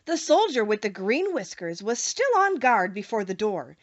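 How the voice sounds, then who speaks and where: A woman reads scripted storybook narration with a very lively, swooping delivery. Her voice is fairly high and carefully phrased, and the recording is slightly dull. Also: moderate pace. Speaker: audiobook narrator.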